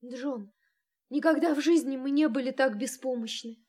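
A woman's voice: high-pitched, normal speed, a little mellow. An actress in a Russian audio drama playing an earnest, defiant young woman with an intense manner. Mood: anxious. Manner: intense, indignant.

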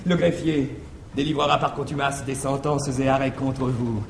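An actor playing a wily, cajoling manservant, swinging between confiding murmurs and exclamations in a theatrical stage farce. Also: male voice, medium pitch, fairly even intonation, moderate pace, creaky, lightly acted. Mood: dramatic warning. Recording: slightly noisy 1961 theatre recording.

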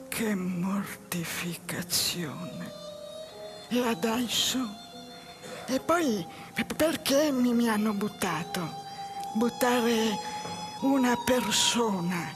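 Slow, high-voiced dejected jobless man. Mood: hurt, bewildered. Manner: halting, repeats phrases. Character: dejected, bewildered man who lost his job. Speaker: stage actor. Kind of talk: theatrical monologue. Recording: music behind the voice.

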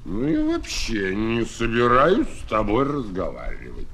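An actor playing a grumpy, brusque crocodile who blusters dramatically, in a children's audio play. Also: male voice, mid-range voice, huge pitch swings, a little slow, creaky, fairly bright tone, heavily acted. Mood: gruff, dismissive. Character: grumpy male crocodile, gruff but secretly soft-hearted. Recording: noisy old flexi-disc record.

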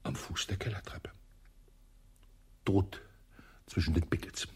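An actor playing a sly colonel under police questioning in a radio play, with a husky voice, evasive and dryly sarcastic, often dropping to a whisper. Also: male voice, low voice, fairly even intonation, slow, darkish tone, heavily acted. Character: sly elderly male colonel, suspected wife-killer.

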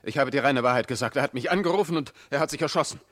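An actor playing a cornered murder suspect in a radio crime drama, defensive, indignant and quick to protest. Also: male voice, mid-range voice, fairly bright tone, lightly acted. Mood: indignant, agitated.

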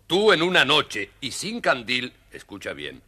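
Un actor que interpreta a un rey severo y autoritario, enfático, en un cuento infantil grabado. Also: male voice, medium pitch, huge pitch swings, measured pace, bright, forceful tone, exaggerated voice. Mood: commanding. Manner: authoritative, emphatic. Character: stern, commanding king setting trials. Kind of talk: children's audio story acting.

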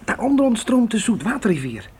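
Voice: mid-range voice, very animated, a little fast, darkish tone, close to an everyday voice. A keen, observant trail boy performed for a radio play, given to marvelling exclamations. The voice moves up and down a lot, over faint noise and insect-like ambience. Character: Willy, keen observant boy on a pioneer trek. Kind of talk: Dutch radio play acting.

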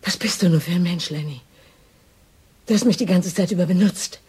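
An actress playing a hurt but resolute police detective in a naturalistic German radio drama, speaking with wounded intensity. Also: female voice, lowish voice, brisk, a little mellow, breathy edge. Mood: agitated, hurt.

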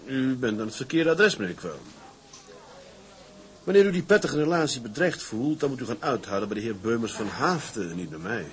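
Actor as a gruff sea captain, swinging delivery. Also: male voice, medium pitch, very animated, a little fast, natural voice. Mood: curt, cold. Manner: curt, commanding, forceful. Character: gruff, proud, determined male sea captain. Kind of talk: Dutch period radio drama acting.

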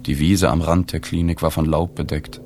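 An actor playing a reserved journalist who narrates a radio drama in the first person, restrained and matter-of-fact. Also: male voice, very deep voice, little pitch movement, moderate pace, vocal fry, darkish tone, a little hiss or hum. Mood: sober, reflective. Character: reserved, observant male journalist recounting a sad story.

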